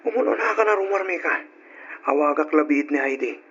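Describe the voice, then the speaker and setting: An actor playing a hesitant, guilty young boyfriend in an old-fashioned radio drama. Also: male voice, fairly high pitch, expressive, fairly quick, a bit bright, husky. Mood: distracted, uneasy. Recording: muffled old radio recording.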